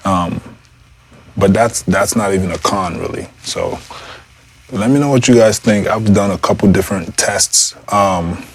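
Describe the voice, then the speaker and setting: A man with a deep voice giving a loose, rambling gear review indoors on a lavalier mic, full of fillers and restarts. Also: a little slow, slightly rough. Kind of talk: casual product review. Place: indoor room.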